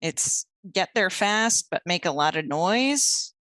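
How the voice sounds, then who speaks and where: A woman narrating as storyteller for a tabletop werewolf game, setting scenes slowly and deliberately. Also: fairly low pitch, lively intonation, fairly bright tone, dry recording.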